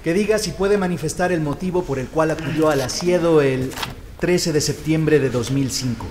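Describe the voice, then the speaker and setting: An actor playing a formal court examiner who puts procedural questions to a witness in an audio drama. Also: male voice, on the high side, normal speed, darkish tone, a little hiss or hum, lightly acted. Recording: long courtroom reverb.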